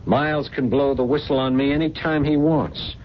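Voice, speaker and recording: An actor playing a cold, determined, scheming grown son in a radio drama. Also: male voice, mid-range voice, fairly even intonation, normal speed, lightly acted. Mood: cold, urgent. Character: scheming, hard-edged grown son plotting murder. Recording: dull, noisy 1970s radio broadcast.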